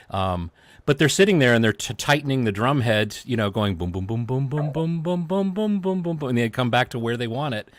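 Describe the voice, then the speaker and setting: A man's voice: lowish voice, dry recording. A man chatting casually as a guest, rambling and telling stories with many restarts. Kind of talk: casual chat.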